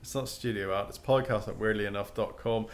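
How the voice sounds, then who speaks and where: A man's voice: fairly low pitch, fairly even intonation, fairly quick. A man co-hosting a podcast, explaining ideas and agreeing often in casual chat. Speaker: podcast co-host. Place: podcast studio.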